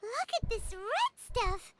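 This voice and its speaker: A woman voicing a tiny, excitable, childlike fairy companion in a video game, squeaky and exclamatory. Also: slow, very noisy, heavily acted. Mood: alarmed.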